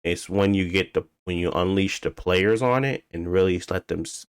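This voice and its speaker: A man with a deep voice co-hosts a gaming podcast. He talks in a loose, informal way, working out his thoughts as he goes. The sound is dry, with little room around it. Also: normal speed, clean tone, no room sound. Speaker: podcast co-host. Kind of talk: casual video game discussion.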